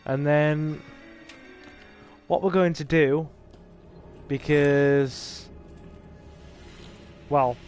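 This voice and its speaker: A laid-back English man talks his viewers through a game as he plays, easygoing and chatty. His voice sits a little higher than most men's, and soft game music plays faintly behind him. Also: steady tone, very slow, pauses often. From England. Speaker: gaming streamer. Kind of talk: casual gameplay livestream commentary.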